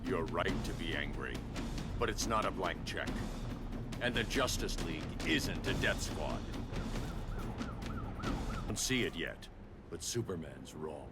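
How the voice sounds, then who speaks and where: Loud cinematic music sits around a very low voice: a grim, middle-aged male superhero from a video game. He speaks in terse, measured phrases. He sounds stern, brooding and principled. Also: animated. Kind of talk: dramatic game cutscene acting.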